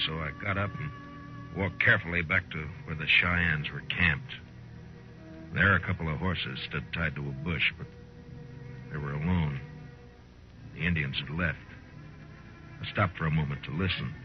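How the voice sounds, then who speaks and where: An actor playing a stern, world-weary US Marshal in a 1950s radio western, terse and deliberate. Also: male voice. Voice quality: deep. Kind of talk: 1950s radio drama, first-person narration and dialogue. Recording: muffled 1950s radio recording with music.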